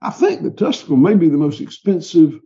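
A man's voice: fairly high pitch, sing-song, brisk, creaky, warm tone, dull audio. A man with a Southern US accent, a livestock farmer talking in an interview, rambling and folksy with frequent restarts. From American South. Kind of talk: conversational interview.

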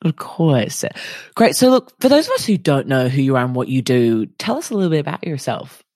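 A woman's voice: sits low, very animated, brisk, darkish tone, dry recording, studio quality. A woman hosting a podcast, reading an intro and then interviewing with effusive enthusiasm. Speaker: podcast host.

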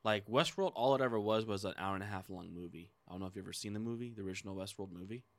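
A man's voice: lowish voice, rapid-fire, no room sound. A man talking informally as a podcast co-host in a casual, conversational chat.

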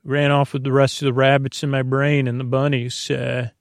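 Male podcast host for sleep listening: gravelly creak, close dry sound, halting whimsical rambles. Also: medium pitch, level tone, no echo. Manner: meandering, halting, self-interrupting, whimsical. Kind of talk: rambling bedtime podcast monologue.

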